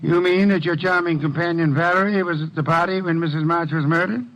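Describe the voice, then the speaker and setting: An actor playing an imperious genius detective in an old-time radio drama, speaking in a pompous, precise, curt manner. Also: male voice, a little high, steady tone, brisk, a bit bright, close to an everyday voice, poor audio. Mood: smug. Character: imperious, dry genius private detective, a mature man. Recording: vintage radio drama recording.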